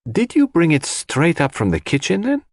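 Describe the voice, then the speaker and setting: Man acting a calm, probing detective, raised pitch. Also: normal speed, no echo, studio quality, full character voice. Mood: businesslike. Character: calm, courteous, businesslike male detective questioning witnesses.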